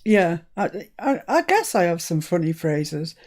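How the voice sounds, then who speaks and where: A woman's voice: fairly low pitch, big pitch swings, a little slow, a little mellow. Chatty Scottish-born woman vlogger. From Scotland. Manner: chatty, laughs often, self-deprecating. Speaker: crafting channel host. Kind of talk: casual live-stream chat. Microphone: live-stream setup.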